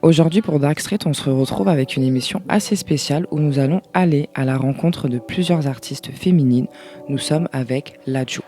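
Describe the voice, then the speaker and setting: A male host runs a casual interview, putting informal questions in an easygoing tone. His voice sits a little higher than most men's. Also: moderate pace, dark tone.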